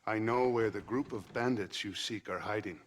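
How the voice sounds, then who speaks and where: A man plays a solemn man from a reservation in a video game. His voice is on the low side, and he speaks plainly and directly. The delivery is measured and grave. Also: close to an everyday voice. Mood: firm, matter-of-fact. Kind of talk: restrained video game acting.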